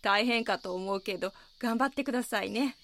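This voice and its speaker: A woman's voice: medium pitch, a little fast, fairly bright tone, slight background noise, lightly acted. A woman playing a kindly, chatty middle-aged housewife with a Kansai accent in an audio drama. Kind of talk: Japanese audio drama acting.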